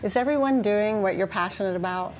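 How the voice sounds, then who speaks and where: A woman's voice: mid-range voice, fast, muffled recording. A woman moderating a panel in a casual, affirming way with brief remarks of agreement.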